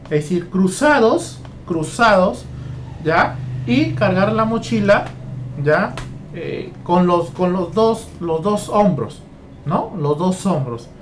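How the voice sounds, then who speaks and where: A man's voice: high voice, lively intonation, slow pace, a little hiss or hum. A man from Peru training teachers, walking through a lesson plan and repeating phrases as he goes. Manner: repeats phrases, keeps asking "¿ya?".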